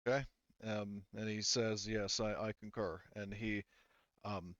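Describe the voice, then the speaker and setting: A man's voice: on the low side, little pitch movement, measured pace, fairly bright tone, dry recording, lo-fi sound. A man working as game master, improvising tabletop narration piece by piece, with bits of character dialogue.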